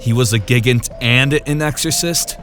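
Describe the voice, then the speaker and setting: An actor playing a cynical, gruff exorcist sidekick with a sardonic, dismissive manner in a fantasy audiobook drama. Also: male voice, medium pitch, moderate pace, fairly bright tone, faint music behind, natural voice. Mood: scornful.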